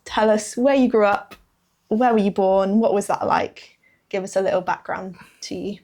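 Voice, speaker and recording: A woman's voice: mid-range voice, normal speed, darkish tone. A woman from the UK interviewing her husband on a podcast, asking questions in a playful, teasing way. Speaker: interviewer, guest's wife.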